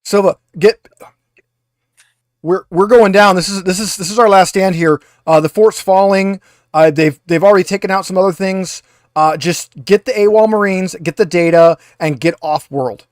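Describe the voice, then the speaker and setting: A man's voice: sits high, normal speed, no room sound, clean studio sound. A man voicing a desperate male military major on a last stand, stammering and repeating urgent orders.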